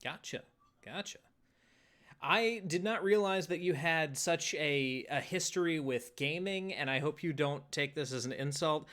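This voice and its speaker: A man in his twenties hosting a podcast, asking his guests questions in a relaxed, friendly way. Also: fairly high pitch, unhurried. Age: 20s. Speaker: podcast host. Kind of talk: casual interview chat.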